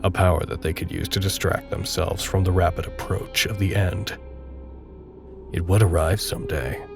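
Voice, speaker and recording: A man narrating a dark audio drama in a measured, literary, grave and detached manner. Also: low voice, steady tone, gravelly, music in the background. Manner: measured, literary, solemn storytelling.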